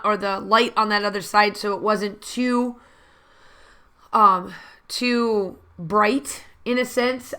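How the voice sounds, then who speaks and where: Chatty woman with a bright voice. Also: a little high. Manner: rambling, chatty, many fillers and restarts. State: slightly hoarse from allergies. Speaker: scrapbooking channel host. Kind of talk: casual crafting voiceover. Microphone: voice-over recorded separately from the video.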